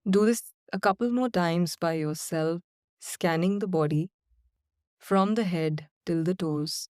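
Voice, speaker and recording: A woman guiding a body-scan and breathing meditation in slow, calm, soothing instructions with long pauses. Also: lowish voice, dry recording, studio quality. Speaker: meditation guide. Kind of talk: guided meditation.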